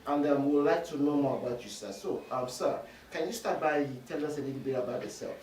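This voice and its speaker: A man's voice: fairly high pitch, fast, a little mellow. A man hosting an interview, greeting viewers and asking questions in a formal, courteous way with frequent fillers. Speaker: interviewer host. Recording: distant roomy mic with faint noise.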